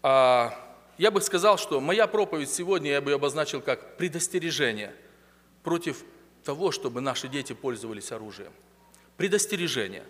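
A man's voice: on the high side, expressive, normal speed, fairly bright tone. A man preaching a sermon in a conversational way, with hesitations and questions to the congregation, in a church hall. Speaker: pastor. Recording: long reverb of a church hall.

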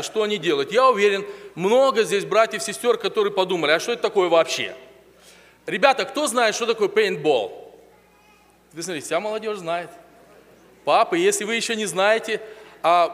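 A man's voice: high-pitched, animated, a little fast, fairly bright tone. A man preaching a sermon in a conversational way, with hesitations and questions to the congregation, in a church hall. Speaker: pastor. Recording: long reverb of a church hall.

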